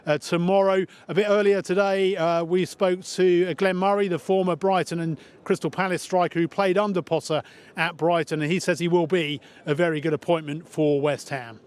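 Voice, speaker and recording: A man presenting the sports news on a broadcast bulletin in a brisk, matter-of-fact way. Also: sits high, fairly even intonation, clear, resonant tone.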